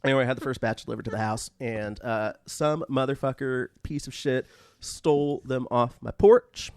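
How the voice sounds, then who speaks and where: Male podcast co-host, mid-pitched, frank and profane, casual anecdote teller. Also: moderate pace, clear, resonant tone, no echo, clean studio sound. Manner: candid, unashamed, profane. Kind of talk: casual comic group chat, telling anecdotes.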